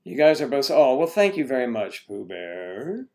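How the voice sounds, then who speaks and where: A man chats his way through a casual livestream, greeting viewers one after another. He rambles and trips over unfamiliar names. His voice sits at a middle pitch. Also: big pitch swings, moderate pace, warm tone.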